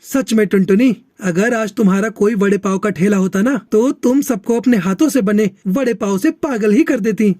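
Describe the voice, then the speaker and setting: Man voicing a mellow, earnest husband crow. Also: high-pitched, normal speed, hardly any pauses, no echo, studio quality, close to an everyday voice. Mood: admiring. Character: weary, downcast husband crow in a cartoon. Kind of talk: Hindi moral-story cartoon dialogue.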